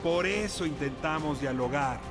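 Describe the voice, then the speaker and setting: A man reading a scripted show introduction in a deliberate, theatrical manner. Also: a little high. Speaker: show narrator. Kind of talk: scripted, aphoristic intro narration. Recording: loud music under narration. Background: music.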